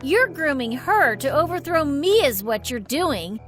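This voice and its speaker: A haughty skeleton villainess from a cartoon, acted by a woman, sneers down at everyone with theatrical grandeur. The voice is high and sweeping, drawn out slowly. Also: sing-song, a bit bright, faint music behind, full character voice. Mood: sneering. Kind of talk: animated film voice acting.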